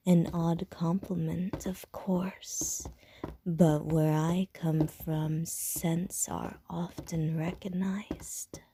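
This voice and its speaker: A woman voicing a seductive, teasing ancient female vampire in an ASMR audio roleplay, speaking in a soft, breathy whisper. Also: lowish voice, slight background noise. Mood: flirty whisper.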